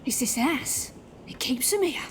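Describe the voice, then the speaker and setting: A woman playing a ruthless, vain, sharp-tongued schemer in an audio play. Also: high voice, lively intonation, slight background noise. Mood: cold, contemptuous. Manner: brisk, sharp-tongued, dismissive.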